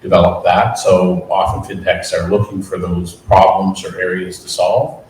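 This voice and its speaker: A low-voiced man speaks on a panel, relaxed and lightly joking, with plenty of uhs. The mic sits far from him in a very echoey room, with a faint hiss underneath. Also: moderate pace. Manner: easygoing, joking, with uh and um fillers. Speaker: credit union executive. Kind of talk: panel discussion.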